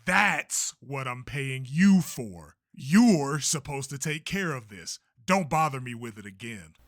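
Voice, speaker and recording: A man's voice: medium pitch, animated, takes it slowly, fairly bright tone, no room sound, studio quality. A man voicing a blustering older race promoter in a comic dub, theatrical, bossy and emphatic. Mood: irritated, bossy. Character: blustering older male race promoter, secretly fearful showman. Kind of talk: comic-book dub voice acting.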